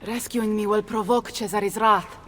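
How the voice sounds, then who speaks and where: A woman playing a proud, sharp-tongued countess in a video game, with an Italian accent and a biting, forceful manner. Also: mid-range voice, steady tone, normal speed, a little hiss or hum, close to an everyday voice. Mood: tense. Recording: long reverb, music behind.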